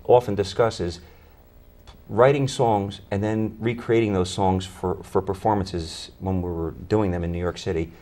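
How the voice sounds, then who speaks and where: A man who plays bass in a tribute band, talking eagerly in an interview and often repeating words as he starts his sentences. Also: fairly even intonation, normal speed, warm tone. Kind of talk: interview conversation.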